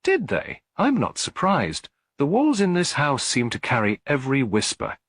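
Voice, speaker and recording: A man's voice: mid-range voice, wildly swooping pitch, normal speed, fairly bright tone, slightly rough, dry recording, studio quality, voice pushed up, full character voice. A man voicing an anxious, guarded English daughter of twenty in a detective audiobook, emotional and defensive.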